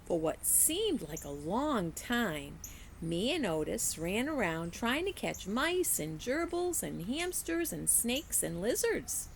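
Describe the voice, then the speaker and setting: A woman narrates a children's story with care and expression. Her pace is slow and her pitch moves widely, with creak in the voice. Faint noise sits in the background. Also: sing-song. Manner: deliberate, careful storytelling for children. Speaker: teacher reading aloud.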